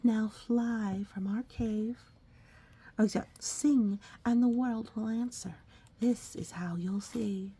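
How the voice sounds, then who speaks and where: A woman reading a picture book aloud to a young child, expressive and coaxing, often dropping into a breathy whisper and adding chatty asides. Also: fairly high pitch, slow pace. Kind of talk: storybook read-aloud with conversational asides to a child.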